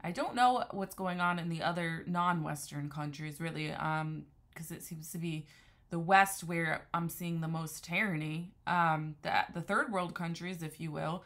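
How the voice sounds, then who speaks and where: Earnest woman, low and bright. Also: a little slow. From New Haven, Connecticut area, USA. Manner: earnest, discursive, restarts often. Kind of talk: unscripted religious monologue.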